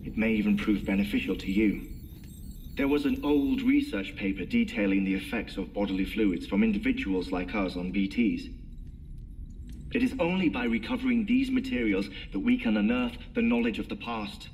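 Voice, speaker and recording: An actor playing a courteous, eccentric scientist in a video game, speaking in formal, precise, wordy sentences. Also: male voice, on the low side, normal speed, darkish tone, reverberant, a little hiss or hum, close to an everyday voice, poor audio. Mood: curious, solemn. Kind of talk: video game briefing dialogue.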